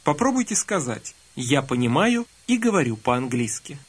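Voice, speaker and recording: A man narrating a scripted language lesson, calmly prompting the listener to repeat phrases. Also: mid-range voice, moderate pace, slightly rough. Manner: calm instructive prompting. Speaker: language course instructor.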